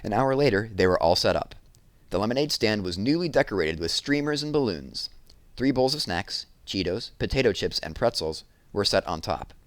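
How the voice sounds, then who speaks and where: Low-voiced man reading a storybook. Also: animated, moderate pace, no echo. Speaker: read-aloud narrator. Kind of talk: expressive scripted storybook narration.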